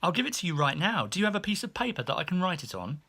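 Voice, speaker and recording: A man's voice: sits high, big pitch swings, rapid-fire, bright, forceful tone, breathy edge, voice pushed up, exaggerated voice. A man voicing a pushy, oblivious hotel maid who chatters and asks nosy questions in an audiobook. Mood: chatty, pushy. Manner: nosy, unembarrassed, firing question after question. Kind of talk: audiobook character voice.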